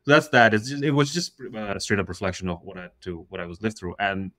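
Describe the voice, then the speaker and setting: A man in his forties from Russia chats as a podcast guest, his voice sitting fairly low and close to the mic in a dry, dead room. He tells stories with a comedian's energy. He laughs mid-sentence and slips into quick voices for the people he quotes. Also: fairly even intonation, a bit bright, dry recording. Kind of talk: casual podcast conversation.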